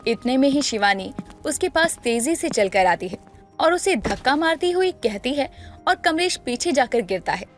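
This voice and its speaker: High-pitched woman storyteller. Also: very animated, moderate pace, faint music behind. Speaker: narrator. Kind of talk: scripted story narration.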